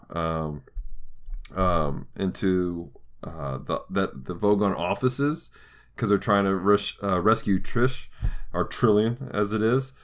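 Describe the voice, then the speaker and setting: A man hosting a podcast in casual chat, enthusiastic, often halting as he searches for his words. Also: fairly low pitch. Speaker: podcast host.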